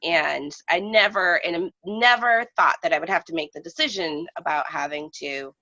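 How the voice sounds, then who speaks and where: A woman shares a personal story in conversation. Her voice is deep for a woman and gravelly with fry, and she speaks haltingly and reflectively. The recording is somewhat muffled and lo-fi. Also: pushed and bright. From United States, lives in New England. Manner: halting, reflective, trailing phrases. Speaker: guest storyteller. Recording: dull, roomy lo-fi recording.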